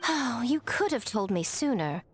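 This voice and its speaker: A sly fox-spirit shrine priestess in a video game, arch and teasing. Her pitch dances up and down in lively swoops. A faint soundtrack hums behind her. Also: moderate pace, over music.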